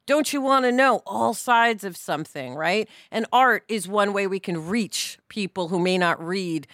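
A woman talking casually as a podcast host, animated and conversational, often checking that others agree. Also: medium pitch, unhurried, no room sound, clean studio sound.

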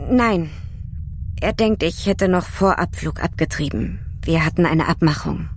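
A woman's voice: fairly low pitch, unhurried, a little hiss or hum, lightly acted. An actress playing a guarded, defensive female astronaut with a secret in an audio drama. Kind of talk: German audio drama acting.

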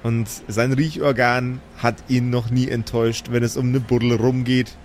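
A man's voice: mid-range voice, level tone, normal speed, a little hiss or hum. A man narrating and ruling a live improvised tabletop game as game master, in a vivid storytelling manner.

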